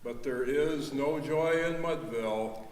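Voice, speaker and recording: Guy doing slow dramatic poem, very echoey hall. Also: mid-range voice, a loud crowd. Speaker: readers theater performer. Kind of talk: dramatic poetry recitation. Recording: echoey hall, far from mic.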